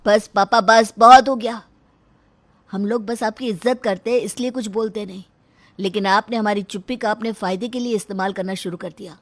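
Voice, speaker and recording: A woman's voice: medium pitch, fairly even intonation, moderate pace, no echo, pitched above the performer's own voice, natural voice. A woman voicing an earnest, respectful grown son in a moral story. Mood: firm, upset. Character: meek, respectful grown son finding his courage. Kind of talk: acted dialogue in a moral story.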